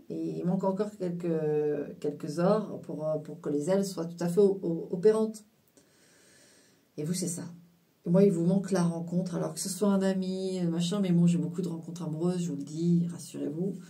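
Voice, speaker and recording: A woman giving a casual spiritual tarot reading to her viewers, halting and full of fillers and restarts, now and then slipping in English phrases. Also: fairly low pitch, mellow, distant mic. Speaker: tarot card reader.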